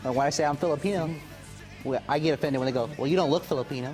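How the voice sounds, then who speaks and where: A man's voice: a little high, rapid-fire, music in the background. A man speaking frankly as a guest in a casual interview.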